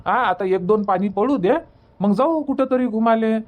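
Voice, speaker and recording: A man's voice: sits high, normal speed, highs cut off, lightly acted. Guy doing a village husband in a cartoon, varhadi marathi accent, keeps arguing and soothing, says things over again. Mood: placating. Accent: Varhadi Marathi from Vidarbha. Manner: colloquial, repeats himself to make his point. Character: village husband and father, placating and argumentative. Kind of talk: cartoon comedy dialogue.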